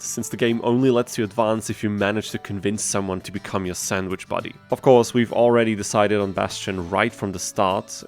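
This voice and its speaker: A man narrating a gaming challenge video as its host, in a casual scripted style. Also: fairly low pitch. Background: guitar music.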